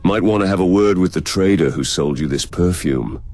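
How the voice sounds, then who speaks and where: A man voicing a gruff warrior hero in a video game, speaking in a terse, blunt but loyal manner. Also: deep voice, moderate pace, a little mellow. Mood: blunt teasing. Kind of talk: voiced player character dialogue in game mod.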